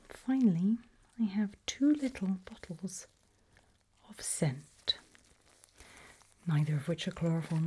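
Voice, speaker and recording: A woman whispering a medical exam roleplay in ASMR style, breathy and hushed, slow, deliberate and gently coaxing. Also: low voice. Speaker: ASMR performer playing an examiner.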